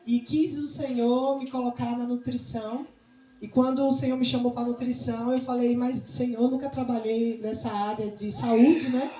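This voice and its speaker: Female speaker, Bahian accent, informal instructive talk with frequent check-ins; mellow tone, echoey room with faint noise. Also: a little high, steady tone, normal speed. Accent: Bahian Brazilian. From Salvador, Bahia, Brazil. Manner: didactic, often seeking agreement with tag questions. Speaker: nutrition speaker and missionary. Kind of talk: informal public talk with religious touches. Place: indoor talk to a women's group.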